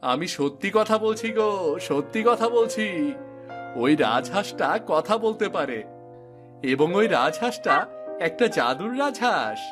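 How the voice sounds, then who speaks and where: A man's voice: high-pitched, very animated, unhurried, pauses often. A man voicing an eager, earnest young village fisherman in a cartoon, insisting on what he says. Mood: excited, insistent. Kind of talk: cartoon dialogue acting. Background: music.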